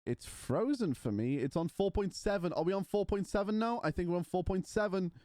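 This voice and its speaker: A man chats with his stream audience, teasing and playful. His voice sits a little high for a man. The sound is dry and close, with no room echo. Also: animated, a little fast, mellow. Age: 20s. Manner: banters with chat, mocking and playful. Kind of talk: casual livestream chat.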